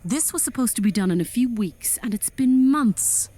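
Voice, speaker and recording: A woman's voice: a little high, expressive, moderate pace, mellow. A woman playing a commanding, impatient alien general in a sci-fi audio drama, clipped and drily sarcastic. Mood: stern, impatient.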